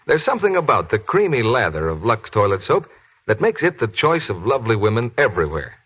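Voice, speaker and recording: An American man with a husky voice doing scripted 1940s radio announcing and advertising. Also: medium pitch, sing-song, brisk. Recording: dull 1940s radio recording.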